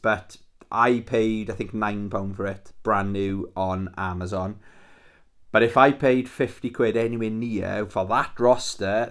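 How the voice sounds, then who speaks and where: A man's voice: on the low side, a bit bright. A Welsh man hosting his own channel, chatting casually and enthusiastically about his games, swearing freely and restarting his sentences. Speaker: YouTube channel host. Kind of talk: casual rambling video chat about his game collection.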